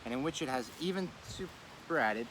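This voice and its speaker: A man reading an old political essay aloud in a measured, oratorical way, now and then stumbling and correcting himself. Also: medium pitch, expressive, fairly bright tone, poor audio. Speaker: reader of a daily series. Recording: clear street and vehicle noise.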